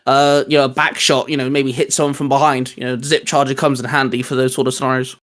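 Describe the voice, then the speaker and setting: A man's voice: mid-range voice, little pitch movement, quick pace, fairly bright tone. A man hosting a casual, geeky hobby chat, rambling along with plenty of "you know". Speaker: host of a hobby discussion show.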